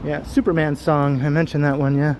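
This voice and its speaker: A man with a deep voice, chatting casually with viewers while walking a city street for a livestream. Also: little pitch movement, brisk, darkish tone. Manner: chatty, greets viewers as he walks. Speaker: livestream host. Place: outdoors on a busy city street. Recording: faint street and traffic noise.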